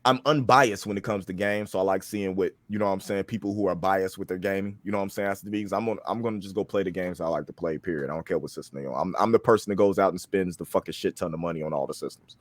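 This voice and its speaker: A man's voice: low-pitched, rapid-fire, few pauses, no room sound. A man in his thirties chatting casually on a podcast, slangy and profane, often repeating himself. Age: 30s. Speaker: podcast panelist. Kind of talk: casual panel discussion.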